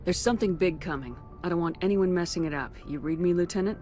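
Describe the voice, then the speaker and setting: A woman's voice: on the low side, quick pace, a little mellow, faint music behind, close to an everyday voice. A woman playing a stern, composed military starship commander in a video game, speaking with firm, clipped authority. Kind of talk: video game dialogue acting.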